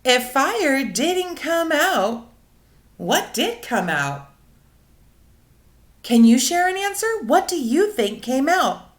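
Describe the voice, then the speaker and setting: A woman with a high, very lively voice teaches young children in a read-aloud lesson. She speaks slowly and animatedly, explaining things simply and asking questions. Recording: roomy, echoing indoor sound.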